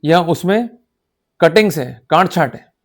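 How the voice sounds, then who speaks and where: Indian male law lecturer, slightly high voice, emphatic and exhorting teacher who keeps checking understanding. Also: animated, a little slow. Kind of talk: instructional law lecture in Hindi-English mix.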